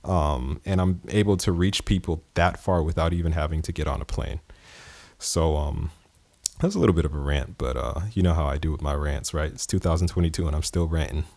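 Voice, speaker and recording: A man's voice: extremely low pitch, a little fast, gravelly, darkish tone, no echo. A man from the East Coast of the United States hosting his podcast, talking in a loose, conversational way with fillers and chuckles. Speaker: podcast host. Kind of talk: casual podcast monologue.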